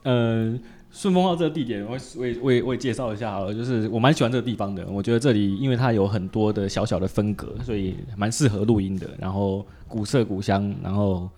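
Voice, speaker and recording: A man's voice: on the low side, fast, dark tone. A man with a Taiwanese accent hosts a casual chat, often repeating short words in agreeing bursts. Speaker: podcast host. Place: small partitioned dessert cafe in an alley.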